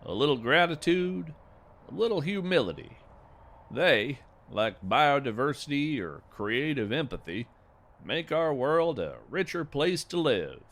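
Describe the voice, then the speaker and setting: A man playing a gentle, whimsical naturalist in a fiction podcast, narrating with folksy, reflective wonder and deliberate phrasing. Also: fairly high pitch, very animated, full character voice. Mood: warm, grateful. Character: gentle, whimsical male naturalist marvelling at strange creatures. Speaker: host and narrator. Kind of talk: scripted fiction podcast narration, storytelling monologue.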